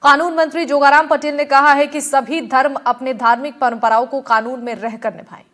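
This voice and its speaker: A female news presenter with a high-pitched voice reads a scripted introduction in formal news style. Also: steady tone, normal speed, fairly bright tone.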